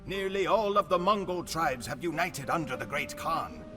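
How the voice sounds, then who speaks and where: An actor playing a Mongol chronicler, narrating a game cutscene in a grave, measured storytelling manner. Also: male voice, fairly high pitch, lively intonation, lightly acted. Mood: solemn. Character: male Mongol chronicler narrating war history. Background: music.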